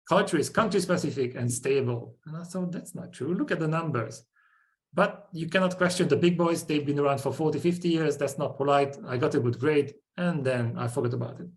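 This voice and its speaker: A Hungarian-accented man in his forties presents a business webinar. His voice sits on the higher side for a man. He speaks in short, punchy sentences and often asks rhetorical questions.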